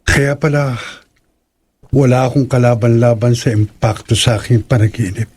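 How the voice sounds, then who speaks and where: A man's voice: medium pitch, fairly even intonation, moderate pace, a little mellow, breathy edge, lightly acted. Horror audio drama lead: kindly young man male actor, average register, troubled by bad dreams. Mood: troubled, earnest.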